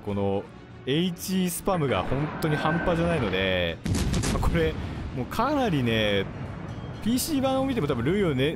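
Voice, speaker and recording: A man commentating on his gameplay as a video host, explaining things in a polite manner. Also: a little high. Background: game music, gunfire and artillery.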